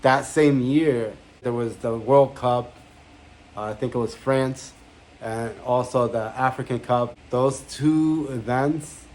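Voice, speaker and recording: A man's voice: mid-range voice, darkish tone, slight background noise, rough recording. A man in his thirties, born in Egypt, hosting his own channel and talking casually to camera in a rambling way with fillers and self-corrections. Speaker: channel founder and host. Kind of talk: casual vlog monologue to camera. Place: indoor room with a banner behind.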